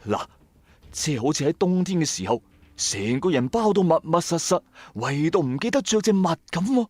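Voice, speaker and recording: A man's voice: a little high, sing-song, moderate pace, a little mellow, no room sound. A man with a Cantonese accent narrates a first-person ghost story in a colloquial, dramatic, suspenseful way. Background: music.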